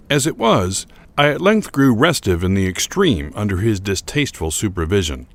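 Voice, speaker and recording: A man playing a troubled, bitter gentleman who narrates a gothic horror tale in a formal, deliberate, theatrical manner. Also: lowish voice. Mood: bitter, resentful. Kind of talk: dramatic first-person reading of gothic horror fiction.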